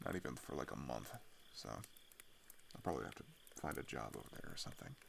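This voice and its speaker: A young man playing a weary teenage boy fleeing his homeland, speaking haltingly in an intimate, naturalistic audio roleplay. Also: a bass voice, very animated, gravelly, a bit bright, slight background noise, full character voice. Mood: uncertain, anxious. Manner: hesitant, halting, sighing, trailing off.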